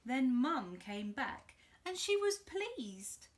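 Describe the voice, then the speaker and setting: Woman children's storyteller, fairly high voice, unhurried, expressive, interactive, simple phrasing. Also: a little hiss or hum. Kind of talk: interactive picture-book reading for young children.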